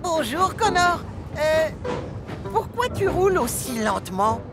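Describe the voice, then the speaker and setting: A kind young boy engine from a children's cartoon. The voice is high and very lively, with a cheerful, helpful temper. Music is clearly audible behind it. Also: slow, heavily acted. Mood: curious, helpful. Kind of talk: French children's cartoon dubbing.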